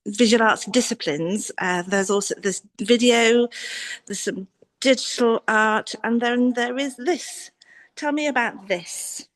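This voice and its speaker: A woman's voice: fairly high pitch, rough recording. A woman presenting a live walk-through on a video call, informal and enthusiastic. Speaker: roving presenter filming a gallery tour. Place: indoors in a gallery landing and exhibition space. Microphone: handheld device on a video call.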